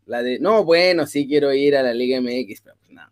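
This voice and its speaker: A man's voice: mid-range voice, a little fast. A man with a Mexican accent co-hosting a live sports stream, joking in an easygoing way and using slang. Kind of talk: casual live-stream chat.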